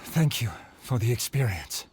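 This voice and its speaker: A man's voice: medium pitch, sing-song, normal speed, darkish tone, soft background music, natural voice. A man voicing an earnest, dutiful young swordsmith in a video game, polite and formal, in a slightly noisy stream capture.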